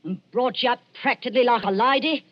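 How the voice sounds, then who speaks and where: An actress playing a sinister, shrewish old matriarch of body snatchers, muttering and scolding in old radio drama style. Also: female voice, a little high, quick pace, close to an everyday voice. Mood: sharp scolding. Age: older. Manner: scolding, muttering, folksy turns of phrase. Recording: muffled vintage radio recording, organ-style music behind.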